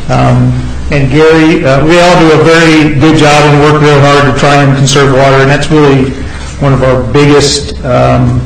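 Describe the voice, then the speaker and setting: A male utilities director giving short thank-you remarks, halting and filled with ums and uhs. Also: medium pitch. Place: council chamber, indoors. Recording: echoey council chamber, faint hiss.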